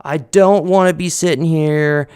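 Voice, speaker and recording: A man's voice: fairly high pitch, fairly even intonation, unhurried, clear, resonant tone. A man talking to camera at home into a desk mic, earnest and rambling, with many fillers and restarts. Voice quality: loud voice. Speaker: vlogger. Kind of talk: casual personal talk to camera. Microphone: desk condenser mic on a boom arm.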